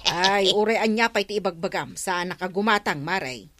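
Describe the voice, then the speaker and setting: A woman's voice: mid-range voice, normal speed, a bit bright, natural voice. Woman playing blunt, suspicious Ilocano village customer. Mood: scolding. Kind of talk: Ilocano comedy radio drama acting.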